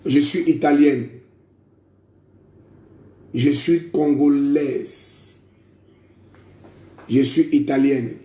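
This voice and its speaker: A man's voice: fairly high pitch, fairly even intonation, drawn-out words, long pauses, warm tone. A man preaching a prophetic sermon, declaiming in repeated phrases and calling listeners brother. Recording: echoey room.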